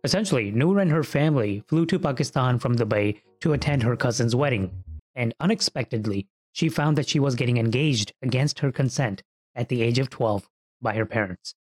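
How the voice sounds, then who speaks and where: A man hosting a podcast interview at a middle pitch. He opens with a scripted read, then moves into easygoing questions. He keeps an even, everyday tone. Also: fairly quick, faint music behind, rough recording. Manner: reads a scripted intro, then asks friendly conversational questions. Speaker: podcast host.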